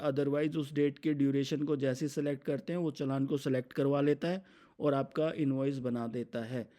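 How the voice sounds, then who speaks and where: Mellow-voiced Indian man presenting software. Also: fairly high pitch. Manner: explanatory, mixes English terms into his talk. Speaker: software demonstrator for his company. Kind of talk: unscripted product demo walkthrough.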